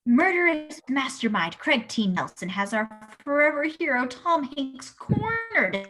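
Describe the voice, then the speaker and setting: A woman's voice: high voice, sing-song, measured pace, pushed and bright, faint music behind, poor audio. A woman narrating a comedy with sassy melodrama. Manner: dramatic, sassy.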